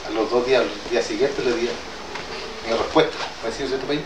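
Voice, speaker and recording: Halting man, far off in an echoey hall. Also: mid-range voice, steady tone. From southern Chile. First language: Mapudungun. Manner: halting, hesitant, plain-spoken with many restarts. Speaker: traditional healer addressing a gathering. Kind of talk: informal public address. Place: indoor meeting hall with an audience. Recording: roomy, distant and noisy.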